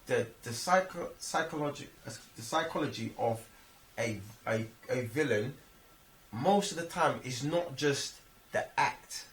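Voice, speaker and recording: London-accented man, expressive, animated pitch, slangy casual discussion, co-host, roomy distant recording. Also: measured pace. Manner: expressive, checks listener understands. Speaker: film review podcast co-host. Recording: echoey room, distant microphone.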